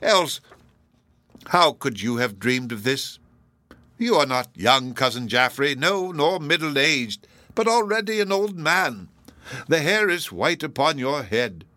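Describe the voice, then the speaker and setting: A man voicing an anguished older gentlewoman in a classic novel audiobook, pleading mournfully and earnestly with drawn-out emphasis. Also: on the high side, big pitch swings, dry recording, pitched above the performer's own voice, heavily acted.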